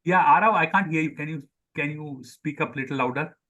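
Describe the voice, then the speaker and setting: A man's voice: fairly high pitch, a little fast, bright, forceful tone, lo-fi sound. A man, probably middle-aged, with an Indian accent and Sindhi as his mother tongue, teaching a language class online, repeating words and questioning pupils. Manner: repeats words for pupils, calls on them by name. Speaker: Hindi teacher. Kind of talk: live online group lesson, questioning pupils and explaining.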